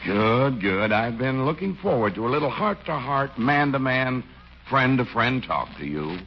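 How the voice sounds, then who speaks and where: An actor playing a cordial, easygoing small-town police chief with a halting, folksy manner in a 1940s radio comedy. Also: male voice, medium pitch, big pitch swings. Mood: welcoming. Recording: muffled, noisy 1940s radio recording.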